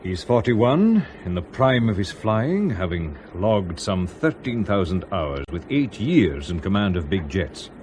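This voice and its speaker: An actor playing a loyal, experienced airline chief in a radio drama, with a clipped, emphatic and assured manner. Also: male voice, sits low, big pitch swings, a little slow, few pauses, a little mellow, a little hiss or hum. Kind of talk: BBC radio drama acting.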